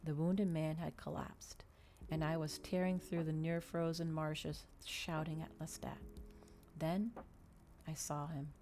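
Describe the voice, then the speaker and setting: A woman reading a story aloud in ASMR style, soft-spoken and measured, as a streamer narrating a novel. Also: low-pitched, flat delivery.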